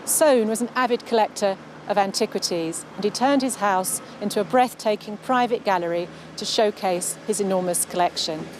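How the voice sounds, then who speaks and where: A woman with a mid-pitched voice presents her work in a measured, articulate way, as a scripted piece to camera. She is recorded out on the street, with traffic ambience audible behind her. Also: poor audio. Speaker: novelist presenting own book. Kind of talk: scripted promotional narration to camera. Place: outdoors on London streets.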